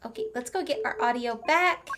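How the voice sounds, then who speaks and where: A woman's voice: high-pitched, animated, a little fast, background noise. A woman giving casual, playful playthrough commentary as a gaming streamer, with little sing-song asides.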